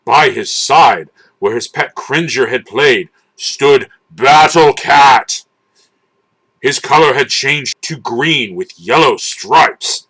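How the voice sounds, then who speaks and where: Male narrator, bright crisp-edged forceful tone, medium pitch, dramatic storybook reading, deliberate, theatrical. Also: low-quality recording.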